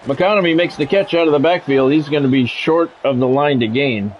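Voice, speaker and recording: American man calling football, slightly high-pitched. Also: fairly quick, lo-fi sound, a little hiss or hum. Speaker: gaming streamer calling play-by-play of own game; former radio sports play-by-play announcer. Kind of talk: football play-by-play commentary mixed with casual chat.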